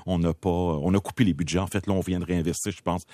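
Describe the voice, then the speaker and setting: A man with a Québécois French accent debating as a political commentator on a panel, measured and full of hesitations. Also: sits low, steady tone, mellow, no echo, clean studio sound.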